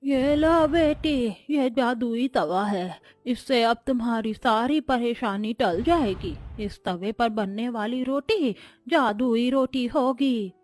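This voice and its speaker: A woman voicing a kindly, hungry old beggar woman in a cartoon fairy tale, speaking slowly and gently. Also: fairly high pitch, soft background music. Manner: slow, gentle.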